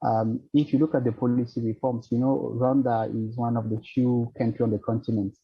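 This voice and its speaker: A man with a French-accented English voice, answering a panel question in a measured, formal way while searching for words. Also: mid-range voice, flat delivery, husky, lo-fi sound. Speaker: financial centre representative on a panel.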